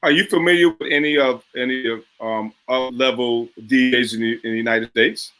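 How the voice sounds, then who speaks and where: A man's voice: medium pitch, steady tone, normal speed, sharp tone, low-quality recording. A man hosting a casual interview show, asking short friendly questions. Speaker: host.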